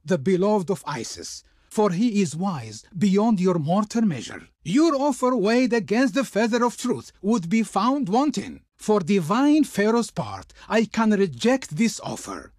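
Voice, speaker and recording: A male actor playing a pompous, fawning Egyptian envoy in a video game, speaking ceremoniously with reverent pauses, as if declaiming for his Pharaoh. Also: high voice, sing-song, darkish tone, no room sound, clean studio sound, full character voice. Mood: polite refusal. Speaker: video game diplomat character. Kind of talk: theatrical video game voice acting.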